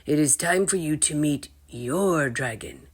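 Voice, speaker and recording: A woman voicing an old male wizard, a calm and measured mentor, in a children's storybook reading. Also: deep voice, husky, exaggerated voice. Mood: calm, instructive.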